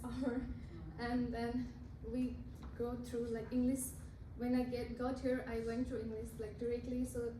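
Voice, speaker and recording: Young woman, Nepali first language, class speech, hesitant restarts, high even pitch, echoey room. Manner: halting, with restarts and self-corrections. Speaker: student speaker. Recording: roomy, from a distance, with noise.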